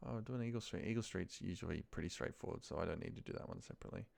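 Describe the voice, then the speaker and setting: A man's voice: deep voice, very fast, vocal fry, a little mellow. A man with an Australian accent gives a casual screen-recorded walkthrough, thinking aloud informally in short asides as he works. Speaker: office trainer.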